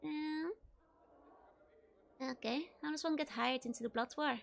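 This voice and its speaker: A young Dutch woman with a strong Dutch accent and soft R's, chatting casually with her viewers during a game stream. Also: high voice, measured pace, vocal fry, a little hiss or hum. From Netherlands. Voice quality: soft R's from a speech impediment. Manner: giggly, chatty, answers chat messages. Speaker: VTuber streamer. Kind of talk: casual chat while playing a game.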